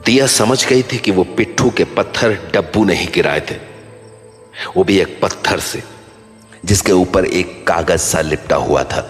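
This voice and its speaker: A man's voice: low voice, unhurried. A man narrating a scripted horror story as the storyteller. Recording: long reverb over background music.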